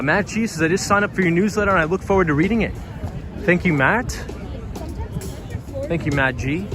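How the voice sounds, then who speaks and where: A man hosting a live stream outdoors on a windy city street at night, chatting casually and reading out viewer comments, his voice a little tired. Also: a little high. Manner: friendly, reads out viewer comments. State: voice slightly tired. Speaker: livestream host reading chat. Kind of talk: casual live-stream chat while walking. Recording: street stream with clear music behind.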